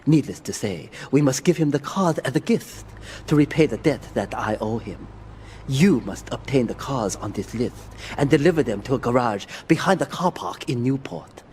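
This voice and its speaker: An actor playing a proud, stern Japanese yakuza boss in a video game, speaking formally and haughtily. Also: male voice, mid-range voice, expressive, moderate pace, darkish tone, a little hiss or hum, close to an everyday voice, poor audio. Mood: formal, disdainful. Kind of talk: video game cutscene acting.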